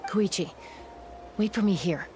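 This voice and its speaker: A woman playing a calm, resolute young female scout in a video game, speaking in a measured, decisive way. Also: mid-range voice, a little hiss or hum, natural voice. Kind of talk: video game dialogue acting. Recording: game music under the voice. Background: game music.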